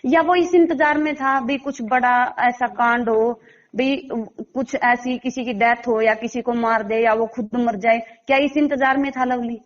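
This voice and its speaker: A woman's voice: fairly high pitch, fairly even intonation, unhurried, muffled recording. A woman giving emphatic, insistent interview answers, piling up rhetorical questions. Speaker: interviewee commenting on a local case. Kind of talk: spoken interview answers, appeal to authorities.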